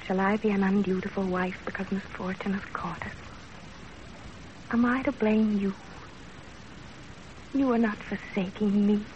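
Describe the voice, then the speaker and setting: Gentle young wife played by an actress, dutiful, earnest careful phrasing, old radio drama, muffled sound, faint noise. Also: female voice, mid-range voice, level tone, measured pace, pauses often, close to an everyday voice. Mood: tender, resolute. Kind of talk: 1930s radio drama acting. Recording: dull, noisy 1930s radio recording.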